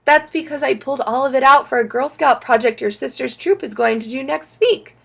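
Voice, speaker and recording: A woman playing a brisk, caring mother of a schoolchild in a children's audiobook. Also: sits high, vocal fry, fairly bright tone, slightly rough, pitched above the performer's own voice, exaggerated voice. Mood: kind.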